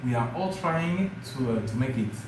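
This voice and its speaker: A man's voice: medium pitch, lively intonation, moderate pace. French-accented man chatting in an echoey room. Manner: hesitant, many fillers, addresses viewers as guys. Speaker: travel vlogger. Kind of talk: casual livestream chat with viewers. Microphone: phone or camera mic, live stream. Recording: very echoey room with clear background noise.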